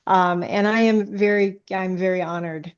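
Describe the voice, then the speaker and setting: A woman's voice: medium pitch, fairly even intonation, normal speed, smooth, lo-fi sound. A woman speaking as a guest author in a casual interview, hesitant and often restarting her sentences.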